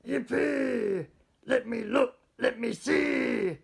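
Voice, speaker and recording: A man voicing a very loud, excitable young boy in a storybook, bellowing his exclamations. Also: very slow, vocal fry, slightly rough, heavily acted. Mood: excited. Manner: bellowing, high-pitched exclaiming.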